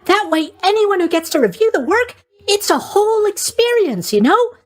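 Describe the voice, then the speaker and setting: A man's voice: very high voice, big pitch swings, moderate pace. A man voicing a chatty, eager-to-please young god of sleep in a video game, rambling along with bubbly asides. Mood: cheerful. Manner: rambling, bubbly, hesitant asides, tag questions. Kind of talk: video game character voice acting.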